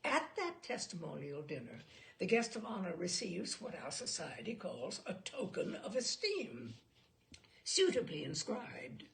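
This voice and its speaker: Casting: woman as fussy, self-satisfied male expert in comic story reading; measured, declamatory, creaky. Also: mid-range voice, huge pitch swings, a little hiss or hum, heavily acted. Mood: pedantic, proud. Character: pompous, fussy male cliché expert witness, proudly precise. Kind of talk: humorous short story read aloud.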